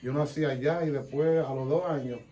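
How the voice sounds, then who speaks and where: A man's voice: mid-range voice, steady tone, fast talker, warm tone. A man, chatting as an interview guest in street slang and switching into Spanish now and then. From New York. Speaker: guest rapper. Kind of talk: casual interview chat.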